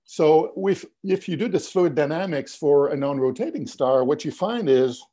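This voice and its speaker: Older male lecturer on a video call, warm and slightly raspy, patient explainer, voice fading. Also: on the high side, animated, moderate pace. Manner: explanatory, many "you know" fillers and restarts. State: losing his voice, coughing. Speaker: physics lecturer. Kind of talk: webinar lecture over slides. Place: home office, indoors.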